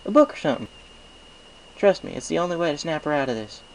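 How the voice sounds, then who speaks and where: A man's voice: a little high, lively intonation, fast talker, gravelly, a little hiss or hum, pitched above the performer's own voice, poor audio. A man voicing a no-nonsense country mare pony in a storybook reading, with a folksy, emphatic manner. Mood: exasperated.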